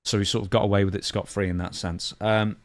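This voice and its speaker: A man's voice: lowish voice, level tone, quick pace, no echo. A British man co-hosting a podcast, chatting casually and bluntly through news stories in slangy language. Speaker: podcast co-host.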